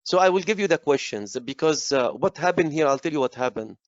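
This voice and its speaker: A man losing his voice, moderating a lecture Q&A over a video call, halting with fillers and restarts. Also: on the high side, lively intonation, no echo. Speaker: course director and moderator. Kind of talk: online lecture Q&A. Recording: dull muffled web call audio.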